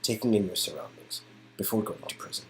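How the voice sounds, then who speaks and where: Low-voiced man narrating a romance. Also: fast talker, a little hiss or hum. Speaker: narrator and performer. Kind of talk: soft, slow storytelling of a romance game script.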